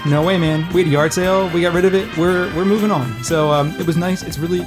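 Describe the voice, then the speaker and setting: Podcast host, male, middle-aged, high-pitched for a man, rambling and irreverent, loose conversational delivery, music in the mix. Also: quick pace. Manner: irreverent, casually profane, rambling. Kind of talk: casual chat.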